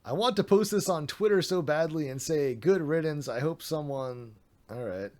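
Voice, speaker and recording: A man's voice: fairly high pitch, moderate pace, darkish tone. Sarcastic man reading aloud on stream. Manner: sarcastic, mocking asides. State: voice getting a little worn. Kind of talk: reading a document aloud with commentary.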